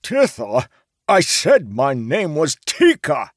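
A pompous merchant in a fantasy audiobook, his voice pitched high and swooping. He speaks slowly and grandly, quick to indignation, savouring his own importance. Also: big pitch swings, dry recording, studio quality. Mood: fuming. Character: pompous, fat, easily outraged male slave merchant. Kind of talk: audiobook character acting.

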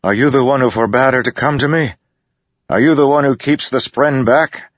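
A man voicing a defiant young male soldier in an audiobook, shouting and pleading into a storm. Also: medium pitch, moderate pace, no echo, lo-fi sound, voice pushed up, close to an everyday voice. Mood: desperate.

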